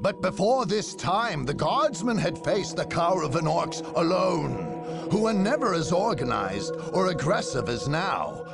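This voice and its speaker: A man's voice: on the high side, gravelly, heavily acted. A man narrating a sci-fi war game as a solemn chronicler, with theatrical, grave emphasis in a scripted style.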